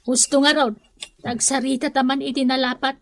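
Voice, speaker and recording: A woman's voice: high-pitched, level tone, normal speed, fairly bright tone. A woman acting a long-suffering mother and wife in a comedy radio drama, scolding and pleading with a Filipino accent. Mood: earnest advising.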